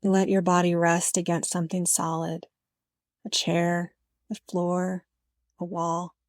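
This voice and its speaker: Female meditation narrator, gentle and measured, slightly low register, dry close sound. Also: level tone, no room sound. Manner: gentle, unhurried, with frequent pauses. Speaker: meditation guide.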